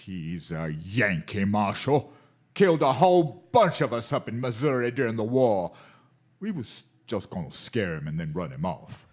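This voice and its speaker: A man's voice: fairly low pitch, big pitch swings, normal speed. A man playing a sly, bullying frontier bushwhacker in a Western radio drama, with a folksy cowboy drawl. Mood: sly, grudging.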